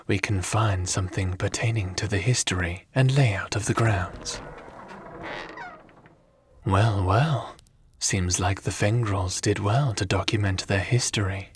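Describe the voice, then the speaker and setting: A man playing a calm, curious, formal god-like spirit in an ASMR audio roleplay, speaking in measured, pensive phrases. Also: fairly low pitch, a little hiss or hum.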